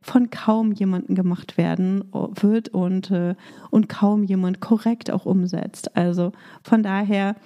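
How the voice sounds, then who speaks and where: Warm-voiced German woman podcast host. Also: medium pitch, steady tone, unhurried. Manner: upbeat coaching tone, with fillers in free talk. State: recovering from a cold, voice slightly rough. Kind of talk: scripted intro then casual monologue.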